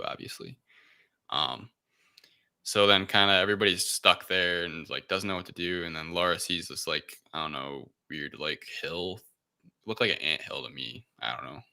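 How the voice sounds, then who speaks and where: A man's voice: extremely low pitch, creaky, sharp tone, no room sound, studio quality. A man co-hosting a podcast, casually recapping a film in a hesitant, rambling way. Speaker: podcast co-host.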